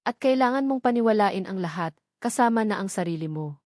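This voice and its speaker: A Filipino woman voicing a stern, scheming elderly grandmother in a story, sharp and admonishing. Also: mid-range voice, lively intonation, brisk, dry recording, clean studio sound, natural voice. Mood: stern warning.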